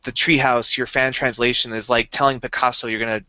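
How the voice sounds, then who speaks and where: A man's voice: mid-range voice, flat delivery, brisk, pushed and bright, no echo. A young man co-hosting a casual podcast, explaining things eagerly in a rambling way with many restarts. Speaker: podcast co-host. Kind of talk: casual chat.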